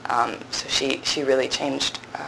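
Woman, 20s, low resonant voice, drama student, reflective, halting, faint background hiss. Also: level tone, creaky. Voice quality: low voice with strong body resonance. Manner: thoughtful, hesitant, many fillers and restarts. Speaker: acting graduate student, interviewee. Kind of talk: reflective conversational interview.

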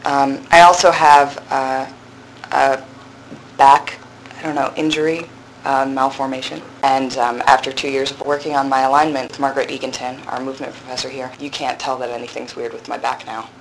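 A young woman in her twenties with a low, resonant voice, a drama graduate student answering interview questions thoughtfully, with frequent pauses and restarts. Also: little pitch movement, slight background noise, low-quality recording. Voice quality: low voice with strong body resonance. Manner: thoughtful, hesitant, many fillers and restarts. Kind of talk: reflective conversational interview.

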